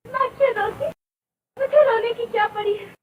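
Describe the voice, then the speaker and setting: Sad pleading young woman, old movie melodrama, super high voice, dull noisy soundtrack. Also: moderate pace, reverberant, far from the mic. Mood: evasive, subdued. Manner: plaintive, pleading. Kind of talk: old Hindi film melodrama acting.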